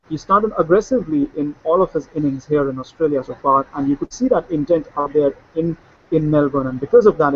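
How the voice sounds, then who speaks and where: A man's voice: fairly high pitch, lo-fi sound, a little hiss or hum. A man talking through a cricket match in a casual discussion, fluent but with many fillers and restarts. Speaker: cricket analyst. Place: Sydney, remote link.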